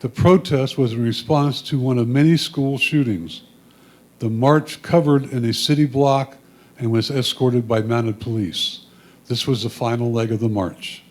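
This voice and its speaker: A man's voice: medium pitch, steady tone, a little slow, darkish tone. A man with a mid-range voice reads out descriptions of photographs. His delivery is plain and matter-of-fact, like someone presenting captions to a club. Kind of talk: read-aloud photo descriptions.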